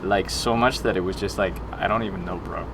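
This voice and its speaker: A man's voice: sits low, fairly even intonation, a little fast, noisy background. A man reading a passage aloud in a put-on Miami accent, drawling and full of "like" and "bro.". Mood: gushing. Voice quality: drawling, filler-heavy "like" and "bro" talk. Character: exaggerated Miami-accented speaker, gushing, slangy.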